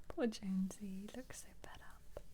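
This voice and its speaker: A woman speaking as an ASMR host in a gentle whisper, musing casually and without hurry as she flips through a book. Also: medium pitch. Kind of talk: soft-spoken ASMR book flip-through.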